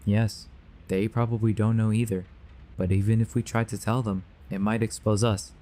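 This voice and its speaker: A man's voice: fairly low pitch, little pitch movement, normal speed, dark tone, natural voice. A man playing a grave, earnest believer warning others of a sinister conspiracy in an audio drama. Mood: grave, urgent. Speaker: voice actor.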